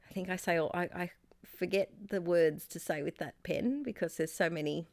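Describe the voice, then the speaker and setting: An Australian woman in her thirties, slightly croaky, chatting casually and rambling with fillers and giggles as she narrates her scrapbooking. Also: fairly low pitch, moderate pace, darkish tone, no room sound, studio quality. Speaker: scrapbooking YouTuber. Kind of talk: casual crafting voice-over. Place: home craft space.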